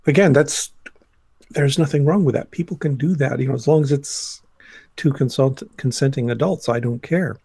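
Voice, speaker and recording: Male guest, mid-pitched with plenty of fry, conversational delivery. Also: normal speed, darkish tone, dry recording. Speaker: podcast guest. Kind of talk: casual discussion.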